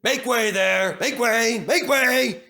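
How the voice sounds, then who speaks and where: A man's voice: very high voice, takes it slowly, vocal fry, bright, forceful tone, pitched above the performer's own voice, heavily acted. A man voicing a proud, boastful male express steam engine in a children's story, with a pompous, declaiming manner. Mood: showing off.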